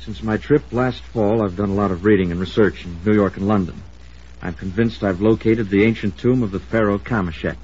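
An actor playing an earnest, stubborn young archaeologist in an old-time radio drama, speaking formally and firmly. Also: male voice, lowish voice, fairly even intonation, a little fast, darkish tone, slightly rough. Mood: polite, earnest. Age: young adult. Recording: dull, hissy old radio broadcast.

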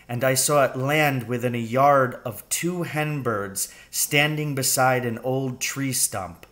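A middle-aged man reading a children's novel aloud in a measured, suspenseful storytelling manner. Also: mid-range voice, fairly bright tone. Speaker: storybook reader. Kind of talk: children's novel read aloud, first-person narration. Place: room at home with wooden ceiling.

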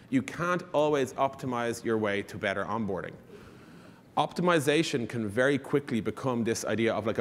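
Man presenting in a reverberant hall. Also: medium pitch. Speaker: conference speaker. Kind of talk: conference presentation. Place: large conference stage, indoors.

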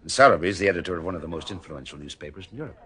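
An actor playing a wisecracking private detective, with a dry, sardonic way of talking, in old-style radio drama acting. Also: male voice, low voice, animated, racing, fairly bright tone. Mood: wry.

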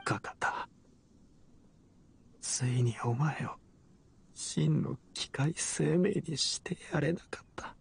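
An actor playing a dying, regretful inventor in a Japanese game, speaking faintly and haltingly in a husky, breathy voice. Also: male voice, fairly high pitch, heavily acted. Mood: weak regret. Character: dying, regretful male inventor, weak and despairing.

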